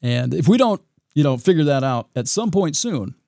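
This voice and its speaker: A man with a raspy voice hosting his own podcast, talking in a reflective, rambling way with frequent restarts. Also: fairly high pitch, sing-song, normal speed, warm tone, dry recording, studio quality. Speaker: podcast host. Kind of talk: solo podcast monologue.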